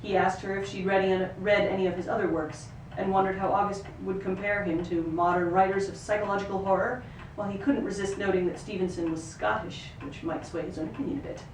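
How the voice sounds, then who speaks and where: A woman's voice: mid-range voice, steady tone, fast talker, hardly any pauses. Distant, echoey woman reading fiction aloud. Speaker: actor reading a book excerpt. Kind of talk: live literary reading, third-person narration. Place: live reading in a roomy venue. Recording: roomy, distant microphone, noisy ambience.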